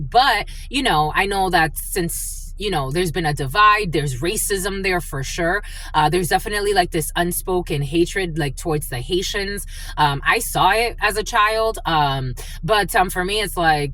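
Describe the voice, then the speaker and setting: A woman with a fairly low voice and Spanish as her first language gives a tarot reading. Her family is from the Dominican Republic. She talks in a loose, rambling way and fills her pauses with ums and uhs. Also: fairly bright tone, poor audio. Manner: chatty and rambling, with frequent fillers. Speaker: tarot reader and spiritual channel. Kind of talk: opening prayer, then a casual tarot reading.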